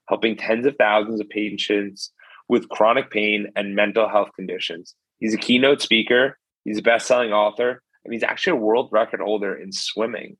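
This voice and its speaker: Host, male; casual and upbeat, deeper register, dry close recording. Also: fairly bright tone, breathy edge, no room sound, poor audio. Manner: upbeat, casual, "what's going on guys". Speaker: podcast host. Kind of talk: casual podcast interview.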